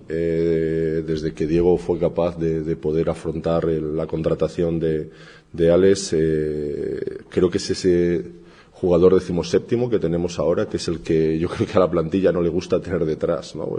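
A middle-aged man, a handball coach, giving a measured, analytical assessment of his new players with frequent hesitations. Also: low-pitched, mellow. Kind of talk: sports interview soundbite.

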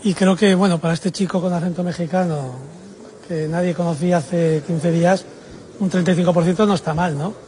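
A man gives an ironic statement to the press and keeps tacking on tag questions. His voice sits a little high, and his tone stays wry. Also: steady tone, brisk, a little mellow. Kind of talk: media statement.

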